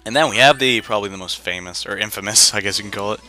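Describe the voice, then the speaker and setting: A man's voice: lowish voice, racing, pushed and bright, faint music behind. A young man giving casual, rambling game commentary full of slang and jokey asides. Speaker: gaming video host. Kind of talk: casual let's play commentary.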